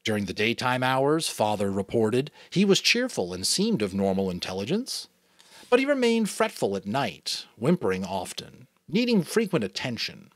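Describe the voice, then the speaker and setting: Man, New England accent, dramatic audiobook reading, lively pitch, dry close sound. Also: normal speed, no echo. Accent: New England American. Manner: expressive, occasional slips and self-corrections. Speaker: audiobook reader.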